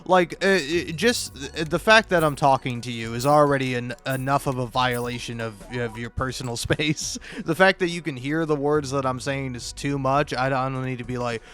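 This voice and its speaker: A man doing casual, comedic, rambling gaming commentary, halting and stammering, self-deprecating and freely profane. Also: mid-range voice, a bit bright, soft background music. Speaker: video creator and host.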